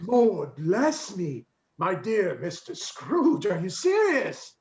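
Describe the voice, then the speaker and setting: A man's voice: sits high, wildly swooping pitch, takes it slowly, darkish tone, full character voice. A man playing a humble, obliging Victorian townsman, deferential and earnest, in a radio play reading. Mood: astonished, earnest. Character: humble, obliging Victorian tradesman or gentleman, gratefully polite. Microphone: video call. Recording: dull, echoey video call audio.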